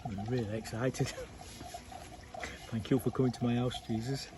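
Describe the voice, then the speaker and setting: A storyteller voicing an excitable male tax collector who is nervous, breathless and full of apologies. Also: medium pitch, a little slow, noisy background, pitched below the performer's own voice, natural voice.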